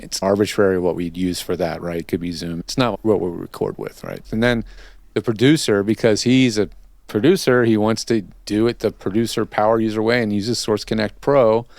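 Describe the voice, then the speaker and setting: A man's voice: fairly low pitch, a little fast, creaky, darkish tone, no room sound. A man hosting a casual interview, chatting in a rambling way that drifts into tangents. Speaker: podcast host and interviewer.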